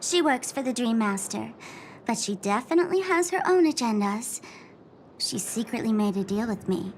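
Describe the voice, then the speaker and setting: A woman's voice: fairly high pitch, expressive, normal speed, a little mellow, a little hiss or hum. An actress playing a theatrical, teasing young trickster woman in an anime-style game, with a smug, sing-song delivery. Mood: sly, dramatic. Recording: music behind the voice. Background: game soundtrack music.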